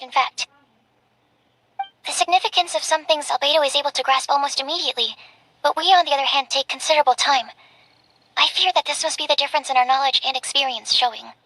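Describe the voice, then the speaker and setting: A woman's voice: sits high, rough recording. A woman playing an earnest, bookish young female researcher in a video game, speaking politely and a little hesitantly. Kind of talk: anime-style video game voice acting. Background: soft game music.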